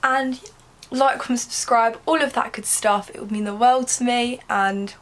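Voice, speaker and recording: She is a young British woman with a fairly high voice. It has a slight croak from a cold she is getting over. She talks in a casual, chatty way, like a vlogger sitting down to address the viewers. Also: steady tone, a little slow. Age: young adult. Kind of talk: casual chatty sit-down talk to camera.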